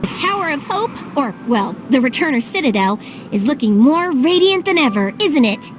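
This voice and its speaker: A woman's voice: high-pitched, sing-song, moderate pace, background noise. A woman voicing a playful, enigmatic guide companion in a video game, fond and evasive. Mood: airy, teasing. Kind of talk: English video game voice acting. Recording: game music under the voice.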